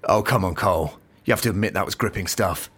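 Audio drama agent: deep, slightly husky, calm, guarded, dryly understated. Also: male voice, quick pace. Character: calm, cautious male investigating agent, dryly noncommittal. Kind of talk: naturalistic audio drama acting.

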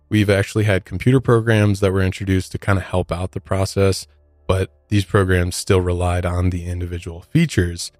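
A man's voice: low voice, darkish tone, no echo. A man co-hosting a true-crime podcast, talking through cases in a discussion style. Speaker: podcast co-host.

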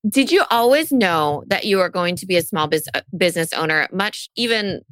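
A woman's voice: lowish voice, animated, brisk, fairly bright tone, no room sound. A woman co-hosting a casual interview in an enthusiastic, encouraging way. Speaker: interview co-host. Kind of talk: casual chat.

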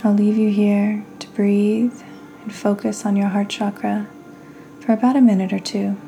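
A woman hosting a guided meditation, speaking soothingly in short phrases with long pauses and breathy endings. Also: medium pitch, little pitch movement, mellow, soft background music. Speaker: podcast host and meditation guide.

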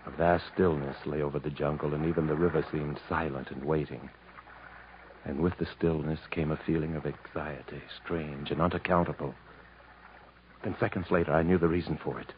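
A man's voice: rumbling low, fairly even intonation, brisk, slight background noise. An actor playing a troubled, proud man stranded in the jungle, in an earnest, dramatic 1950s radio style. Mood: brooding, hushed. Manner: earnest, literary, dramatic delivery. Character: weary, troubled male jungle survivor, proud and protective. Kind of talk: 1950s radio acting, dramatic narration and dialogue. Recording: muffled vintage radio recording with music.

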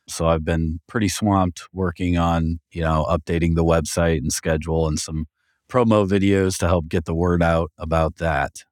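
A man co-hosting a podcast, speaking in a relaxed, self-deprecating way. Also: deep voice, dry recording, studio quality. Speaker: creative director, podcast co-host. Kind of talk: casual podcast chat.

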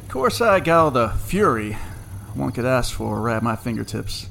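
An actor playing a smug, folksy dark man with quiet menace in an audio drama. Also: medium pitch, sing-song, moderate pace, gravelly. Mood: smug, chatty. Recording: faint background noise.

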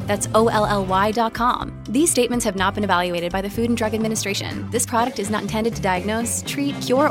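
Woman doing an ad read, bright and polished, bit high, music loud behind her. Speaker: ad announcer. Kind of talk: read advertisement.

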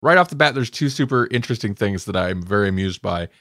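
A man co-hosting a podcast, talking casually and with an amused, animated manner. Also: fairly low pitch, quick pace, no room sound, clean studio sound. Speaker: podcast co-host reviewing audio dramas. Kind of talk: casual chat.

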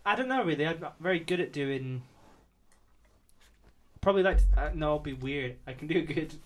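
A man with a nasal voice, co-hosting a podcast with dry, deadpan jokes. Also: fairly high pitch, a little fast. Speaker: podcast co-host. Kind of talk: casual chat.

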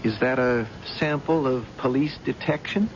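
A man's voice: mid-range voice, moderate pace, lightly acted. An actor playing a skeptical, resentful young man in a 1970s radio drama style. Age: young adult. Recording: dull, noisy old radio broadcast.

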